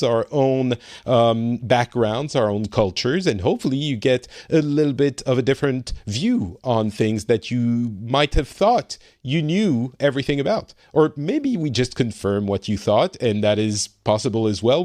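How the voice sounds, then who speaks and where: A man with a French accent hosts a casual online talk show, his pitch swinging up and down as he chats. He often restarts sentences and laughs easily. The sound is close and dry, with no room around him. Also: a little mellow, no echo. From France. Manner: chatty, self-correcting, laughs easily. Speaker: podcast host. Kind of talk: casual podcast chat. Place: home in Finland.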